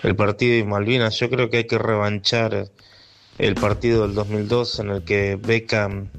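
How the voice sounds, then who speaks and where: Argentine male caller near forty; low, gravelly, earnest and a bit hesitant. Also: level tone, moderate pace, a little hiss or hum. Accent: Argentine Spanish. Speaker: radio caller.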